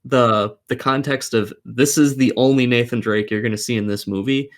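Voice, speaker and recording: A man's voice: mid-range voice, steady tone, normal speed. A man co-hosting a casual gaming podcast, explaining his opinions at length and swearing casually. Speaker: podcast co-host.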